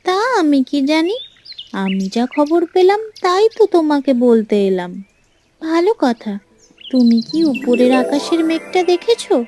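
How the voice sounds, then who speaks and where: একজন মহিলা কার্টুন রূপকথার এক চালাক, খানিকটা কর্তৃত্বপরায়ণ পরীর চরিত্রে নাটকীয় ভঙ্গিতে কণ্ঠ দিচ্ছেন।. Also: female voice, sits high, sing-song, a little slow, dark tone, slight background noise. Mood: feigned concern, stern. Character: clever female fairy, helpful schemer, teasing and bossy. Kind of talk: animated fairy tale voice acting.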